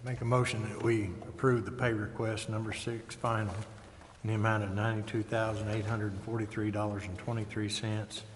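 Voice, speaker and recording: A man's voice: fairly low pitch, level tone, fairly quick. A man speaking as a council member, formally stating motions at a meeting. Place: indoor council chamber. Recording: reverberant chamber, faint noise.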